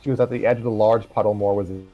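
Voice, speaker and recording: A man's voice: lowish voice, steady tone, racing, dull audio, faint music behind. A man reading a fan story aloud on a livestream, casually, with stumbles and asides.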